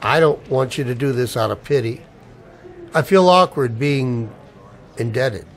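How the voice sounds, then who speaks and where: A man's voice: medium pitch, unhurried, natural voice. An actor playing a wry, wary ex-soldier down on his luck, with dry, sardonic banter in a radio play. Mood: uneasy, proud. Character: wry, wary unemployed ex-Ranger, expectant father. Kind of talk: radio play dialogue in homage to old radio dramas.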